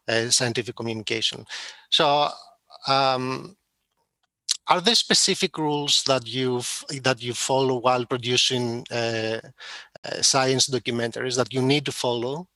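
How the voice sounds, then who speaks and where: A man acting as interviewer in a conversational exchange, speaking in measured, hesitant phrases. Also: medium pitch, bright, forceful tone, no echo.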